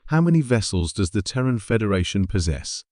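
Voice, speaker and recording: A man's voice: lowish voice, big pitch swings, brisk, a little mellow, dry recording, studio quality. A man voicing a composed, cautious female alien ambassador with careful, formal diplomatic phrasing, in a story reading. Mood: carefully neutral.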